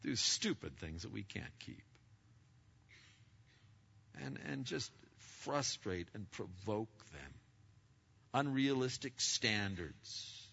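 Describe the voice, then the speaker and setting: Brief: middle-aged male preacher, big bright voice, stammering restarts, dull lo-fi sound. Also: on the low side, big pitch swings, takes it slowly, creaky, long pauses, slightly rough. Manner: loud and emphatic, with many stammered restarts. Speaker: pastor. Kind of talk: sermon to a congregation. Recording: lo-fi recording.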